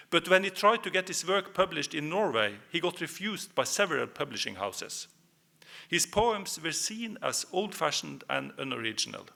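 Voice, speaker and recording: A man with a Norwegian accent giving a history presentation, speaking in measured phrases with hesitant fillers. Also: on the high side, vocal fry, bright, forceful tone. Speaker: lecturer.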